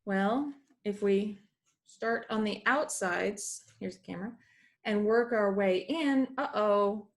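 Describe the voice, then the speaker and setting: A woman's voice: mid-range voice, slow pace. Woman reading a math lesson aloud. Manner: explanatory, acts out dialogue, breaks off for asides. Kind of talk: educational read-aloud with informal asides.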